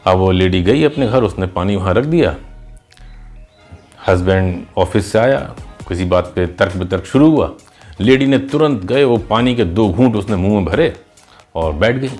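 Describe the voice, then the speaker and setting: A man's voice: lowish voice, lively intonation, normal speed, a little mellow, soft background music. A man narrating in a conversational, explanatory teacher's style, mixing in English words as he tells a moral story and gives advice.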